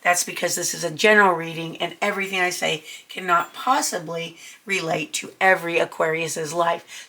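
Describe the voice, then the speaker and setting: A woman getting over a cold talks through a tarot reading in a chatty, reassuring way, with many ums. Her voice sits a little low and has a gravelly fry. Its tone is bright and forward. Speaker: tarot reader. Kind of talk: casual tarot reading to camera.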